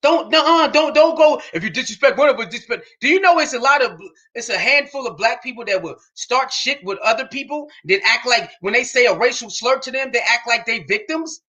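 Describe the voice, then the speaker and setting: A man hosting a reaction show, commenting on a podcast clip with indignant rhetorical questions and bursts of shouting. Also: extremely high pitch, expressive, fairly quick, hardly any pauses.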